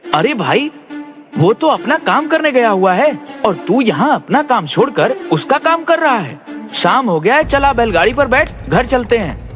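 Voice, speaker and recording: A man's voice: very high voice, very animated, moderate pace. A man playing a cunning, brash young village trickster in a cartoon story, talking persuasively and pushily. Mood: scolding. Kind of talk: animated Hindi moral-story cartoon acting. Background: faint music.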